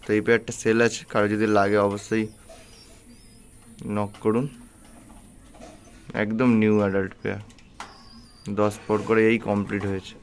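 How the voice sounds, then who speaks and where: A man's voice: lowish voice, flat delivery, normal speed, pauses often. A man giving a casual, matter-of-fact vlog narration as he walks through his pets' outdoor space. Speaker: pet vlogger showing his pigeon loft. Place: outdoor pigeon loft and garden. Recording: noisy outdoor recording with cooing pigeons and birds. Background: cooing pigeons, birdsong, ambient noise.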